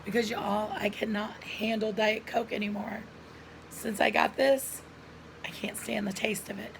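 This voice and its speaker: A middle-aged woman vlogger talks straight to her viewers in an earnest, chatty way. Her voice is hoarse and gravelly with a lot of vocal fry, and she keeps breaking off to cough. She is recorded at home on a camera mic, with faint room ambience behind her. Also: medium pitch, flat delivery, a little slow, slight background noise, low-quality recording. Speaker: lifestyle vlogger. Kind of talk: casual personal storytelling to camera.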